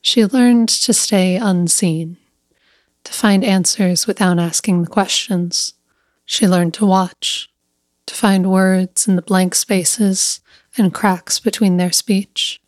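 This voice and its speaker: Slow-paced woman narrating a story, dry close sound. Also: mid-range voice, fairly even intonation, no echo. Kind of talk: scripted literary story narration.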